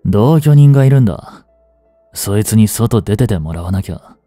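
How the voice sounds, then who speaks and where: An actor playing a cocky, rough-tongued young male delinquent in a Japanese audio drama, with brash, slangy tough-guy talk. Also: on the low side, animated, normal speed, mellow, dry recording, soft background music, close to an everyday voice. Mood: irritated, gruff. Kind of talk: Japanese audio drama acting, one-sided dialogue to the listener.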